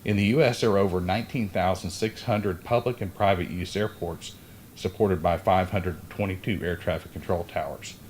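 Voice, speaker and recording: A man with a very deep voice reads prepared remarks at an outdoor podium, moving steadily through a list of thanks and stumbling now and then. Faint outdoor sound sits behind him. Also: fairly even intonation, moderate pace, a little mellow, slight background noise. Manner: reads thank-you list, occasional slips. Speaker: airport official and emcee. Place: outdoor groundbreaking site. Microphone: podium microphone.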